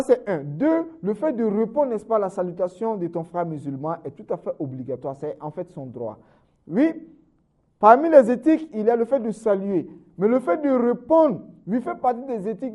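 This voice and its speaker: A man delivers a sermon with a high-pitched but warm, mellow voice. He lifts his phrases loud and high to press each point, then lets them drop low and soft. He keeps inserting "n'est-ce pas" as he goes. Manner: says "n'est-ce pas" constantly, swings from raised emphatic phrases to low trailing ends. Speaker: Islamic preacher.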